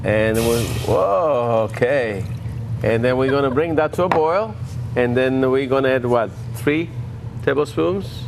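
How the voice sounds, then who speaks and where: A man's voice: medium pitch, unhurried, vocal fry, noisy background. A man hosting a cooking show, chatting playfully with guests and speaking English as a second language. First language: not English. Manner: playful, hesitant with fillers. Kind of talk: casual chat and cooking demo.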